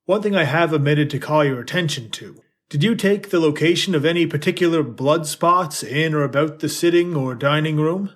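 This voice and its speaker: A man's voice: on the high side, moderate pace, close to an everyday voice. A man playing a probing 1890s defense attorney in an audio drama, questioning in a formal, direct way. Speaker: actor in an audio drama reading. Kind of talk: dramatic reading of a trial transcript.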